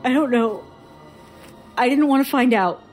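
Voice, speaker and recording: A slow-spoken, haunted storyteller. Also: female voice, high-pitched, soft background music. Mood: distressed, uneasy. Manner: slow, halting, hushed suspense. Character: haunted woman recounting frightening childhood memories to a doctor. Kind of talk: audio drama acting.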